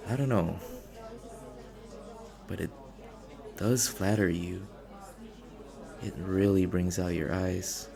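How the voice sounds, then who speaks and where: A man playing a shy, socially anxious, gentle young stranger in an ASMR roleplay, speaking hesitantly and rambling in an earnest, self-conscious way. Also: slow, pauses often, a crowd in the background, exaggerated voice. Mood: awkward, rambling. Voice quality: low, gravelly with vocal fry. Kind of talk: ASMR boyfriend audio roleplay, intimate naturalistic acting.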